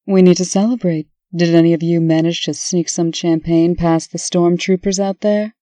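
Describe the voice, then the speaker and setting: A woman's voice: lowish voice, fairly even intonation, moderate pace, warm tone, dry recording, natural voice. A woman playing a wry, authoritative female administrator in a comic dub. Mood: dry, curious.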